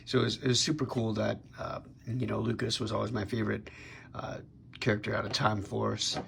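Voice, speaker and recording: A young man with a sick, raspy voice casually reviewing a toy figure on his channel, talking in a laid-back way with lots of fillers and restarts. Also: lowish voice, flat delivery, brisk, a bit bright, a little hiss or hum.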